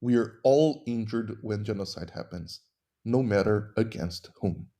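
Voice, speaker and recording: A man with a Brazilian accent, a native Portuguese speaker, hosting a podcast and explaining legal ideas in measured, teacherly narration. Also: fairly low pitch, dark tone, soft background music. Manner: measured, didactic. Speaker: podcast host and human rights lawyer.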